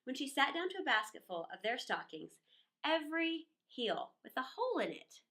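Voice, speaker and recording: Woman reading a storybook, high and swooping. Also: very animated, moderate pace, fairly bright tone. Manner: theatrical, playful, with conversational asides. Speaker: children's storyteller reading a book aloud. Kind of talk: expressive storybook read-aloud for children.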